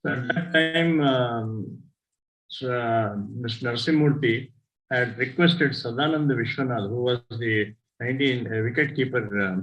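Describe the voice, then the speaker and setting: An older Indian-accented man who speaks Tamil as his first language recounts memories at an easy, deliberate pace. He pauses often and corrects himself mid-sentence. His voice sits at an ordinary middle pitch. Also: a bit bright, low-quality recording. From southern India. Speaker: devotee sharing experiences. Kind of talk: personal storytelling talk.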